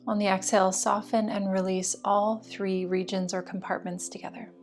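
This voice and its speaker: Woman yoga teacher doing guided meditation, slow soothing delivery, music in the back. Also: mid-range voice, level tone. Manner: soothing, slow and deliberate phrasing.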